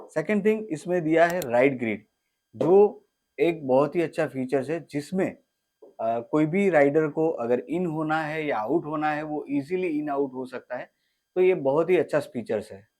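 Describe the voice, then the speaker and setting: A man's voice: a little high, expressive, a little slow, darkish tone. An Indian man giving an explanatory opinion as a guest expert on a product.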